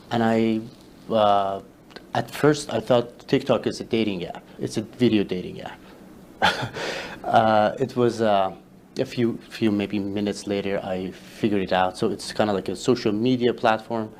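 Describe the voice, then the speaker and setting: A man whose first language is Farsi, a guest telling his story in a casual interview, halting with many fillers and restarts. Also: on the low side, darkish tone, slight background noise. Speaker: interview guest, social media creator.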